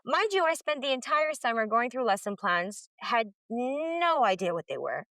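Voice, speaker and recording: A young woman from northern Lebanon, a middle school teacher, telling her story in an expressive, animated way and acting out the dialogue. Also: moderate pace, no room sound, clean studio sound. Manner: expressive, acts out dialogue with sudden pitch jumps.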